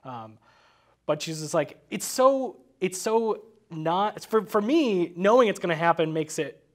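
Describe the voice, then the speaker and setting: Higher-voiced man casually talking film, halting. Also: lively intonation. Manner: halting, thinking aloud.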